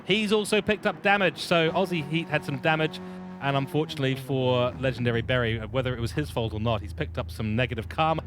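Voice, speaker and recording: Lively man commentating a race live. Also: medium pitch, quick pace. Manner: lively, self-deprecating, playful asides. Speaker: sim racing lead commentator. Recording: steady background noise.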